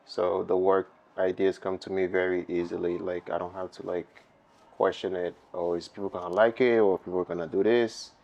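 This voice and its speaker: A man's voice: deep voice, level tone, normal speed, creaky, darkish tone, lo-fi sound. A young man from Haiti with a Haitian accent, a painter in a documentary interview, speaking reflectively with loose phrasing and many fillers. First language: Haitian Creole.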